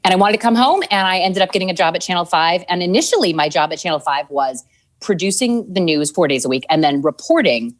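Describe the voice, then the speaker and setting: A woman in her forties from Minnesota, a TV news anchor, telling stories in an interview and acting out quotes with a laugh. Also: quick pace. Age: 40s. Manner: animated storyteller, acts out quotes with lively pitch jumps; laughs easily while talking. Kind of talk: conversational interview.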